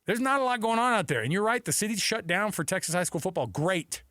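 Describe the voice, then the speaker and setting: A man's voice: sits high, expressive, fast, pushed and bright, no room sound, studio quality. A man hosting sports talk radio, giving opinions emphatically and repeating his points for weight. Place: radio studio.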